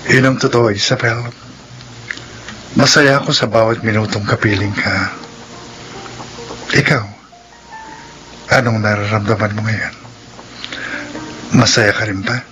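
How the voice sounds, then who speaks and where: An actor playing a passionate, pleading young suitor in a sentimental romantic radio drama. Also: male voice, lowish voice, steady tone, measured pace, pauses often, poor audio. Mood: tender, ardent. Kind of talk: Ilocano-style romantic radio drama acting. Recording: loud music under the voice.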